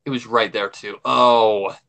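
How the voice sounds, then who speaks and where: A man's voice: lowish voice, steady tone, a little slow, creaky, sharp tone. A man co-hosting a livestream in casual fan chat, giving his opinions emphatically and repeating his points.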